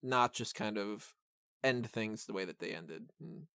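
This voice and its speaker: A man's voice: on the low side, animated, measured pace, pushed and bright, clean tone, dry recording, clean studio sound. A man hosting a podcast, discussing a film casually in a rambling, enthusiastic way. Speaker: podcast host reviewing a film.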